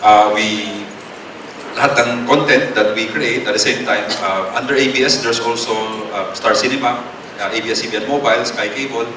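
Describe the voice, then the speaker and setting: A man's voice: mid-range voice, steady tone, fairly quick. A man with a Filipino accent giving a seminar talk to students, chatty, with many fillers and tag questions. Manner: code-switching, tag questions, filler-heavy. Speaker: guest speaker. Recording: echoey hall, distant mic, noisy.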